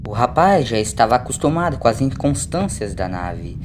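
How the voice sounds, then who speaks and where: A man reads a story as a scripted narration, with a Brazilian accent. His pitch is medium, and there is clear ambient noise behind him. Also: sing-song, normal speed. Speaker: audiobook narrator.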